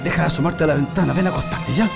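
A Chilean man playing a protective husband and father in a radio drama, trying to keep calm, hesitant and stammering under pressure. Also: on the high side, very fast, vocal fry. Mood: weary, reassuring. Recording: radio drama with loud music behind.

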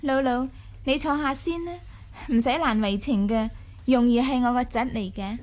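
A woman's voice: fairly high pitch, moderate pace, a little mellow, natural voice. A woman playing a young, shrewd, imperious mistress of a rich household in a Cantonese radio drama. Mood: composed, polite. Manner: imperious, smoothly sociable.

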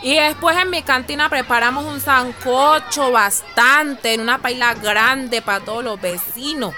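An actress playing an outspoken, warm-hearted cantina owner in a radio drama, with a Medellín accent and colloquial, exclamatory talk. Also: female voice, high voice, a little slow. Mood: hopeful. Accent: Colombian, Medellín paisa. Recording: music behind the voice. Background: clear background music.

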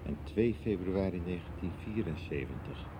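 An actor in a radio soap playing a puzzled, hesitant man with a halting, questioning manner. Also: low voice, expressive, background noise. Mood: puzzled, curious. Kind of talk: 1990s Dutch radio soap acting.

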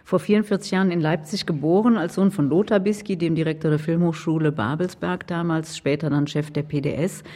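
A woman's voice: low-pitched, fairly even intonation, fairly quick, hardly any pauses, warm tone. A woman interviewer putting prepared, probing and concise questions to a guest.

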